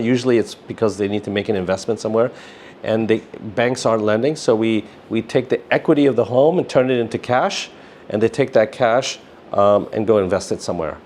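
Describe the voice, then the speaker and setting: A man's voice: mid-range voice, a little mellow, a little hiss or hum. A middle-aged man speaking casually as an interview guest, in a rambling way peppered with filler phrases. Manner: rambling, often says "you know" and "like". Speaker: interview guest, business founder.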